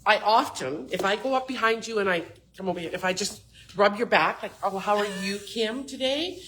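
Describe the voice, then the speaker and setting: A woman's voice: mid-range voice, brisk, a little hiss or hum, poor audio. Big-voiced middle-aged woman bra fitter. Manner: lively storyteller, acts out customers' lines. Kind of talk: casual shop talk with stories.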